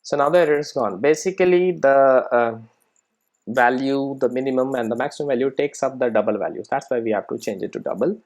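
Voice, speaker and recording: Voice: medium pitch, fairly quick, a little mellow. A person giving an informal live coding tutorial, thinking aloud and often checking in with "right" and "okay". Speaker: programming tutorial presenter.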